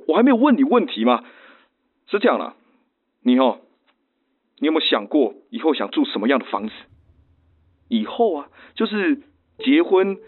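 An actor playing an awkward, eager suitor in a radio drama, fumbling and stammering through a telephone filter. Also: male voice, mid-range voice, breathy edge. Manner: fumbling, stammering, bashful chuckles. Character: awkward, eager, clumsy practical male suitor. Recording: thin, phone-like band-limited sound.

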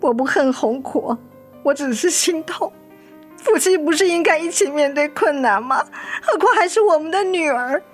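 A heartbroken young mother and little sister in a radio drama. Her high voice is plaintive and emotional, and music plays underneath. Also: lively intonation, normal speed.

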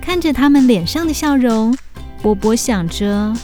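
A woman with a fairly high voice narrates a children's audio story. Her delivery is scripted and expressive, made for storytelling, with music playing behind her. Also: measured pace.

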